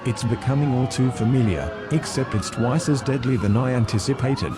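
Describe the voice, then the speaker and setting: A man's voice: medium pitch, moderate pace, loud music behind the voice, lightly acted. A man playing a TV field reporter, giving a scripted, serious live report from a crime scene. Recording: very loud sirens and traffic noise. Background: police sirens, vehicles, street ambience.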